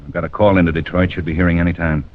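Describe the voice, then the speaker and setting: A man's voice: low-pitched, flat delivery, very fast, natural voice. Man as a stern police captain, muffled old radio. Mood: grim, resigned. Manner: stern, guarded, matter-of-fact. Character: firm, by-the-book male police captain, weary but decent. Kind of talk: 1940s-50s radio drama acting.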